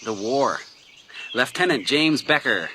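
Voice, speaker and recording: An actor playing an inquisitive, self-assured newspaper reporter, pressing and over-familiar, in a detective radio drama. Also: male voice, mid-range voice, lively intonation, unhurried, sharp tone, slight background noise. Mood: insistent, familiar.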